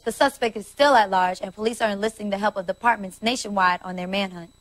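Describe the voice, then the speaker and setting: An actress playing a polished, serious American TV news anchor in a video game, reading a scripted report. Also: female voice, mid-range voice, quick pace, natural voice. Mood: serious, brisk.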